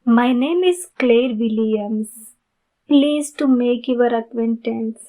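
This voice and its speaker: A woman playing a polite, pleading, youthful-looking vampire in an ASMR roleplay, speaking formally and courteously with many pauses. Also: on the high side, dark tone, poor audio. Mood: polite, demure. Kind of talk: ASMR roleplay addressed to the listener.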